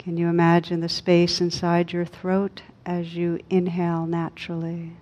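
A woman leading a guided meditation as a teacher, speaking calmly and unhurriedly with long pauses. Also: fairly low pitch. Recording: dull, lo-fi recording.

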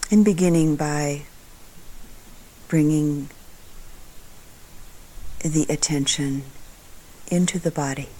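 A woman meditation teacher leading a guided meditation in a soft voice that sometimes trails into a breathy near-whisper, calm and deliberate, with long pauses between short phrases. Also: deep voice, rough recording.

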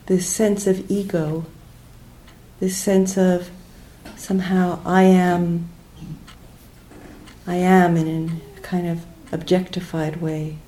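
A woman leading a guided meditation as a teacher, speaking gently and unhurriedly in short phrases with pauses between them. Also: lowish voice, flat delivery, poor audio.

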